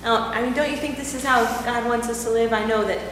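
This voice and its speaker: A woman's voice: on the high side, fairly even intonation, quick pace, background noise, close to an everyday voice. A woman playing an overworked housewife in a church skit, talking emotionally and swinging between scolding and pleading. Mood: gentle. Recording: echoey hall from far off.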